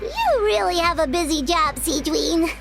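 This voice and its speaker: A woman voicing a tiny, excitable, curious childlike fairy companion in a video game. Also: moderate pace, vocal fry, loud music behind the voice, full character voice. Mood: chirpy. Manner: squeaky, exclamatory, speaks of self in third person. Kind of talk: video game character acting.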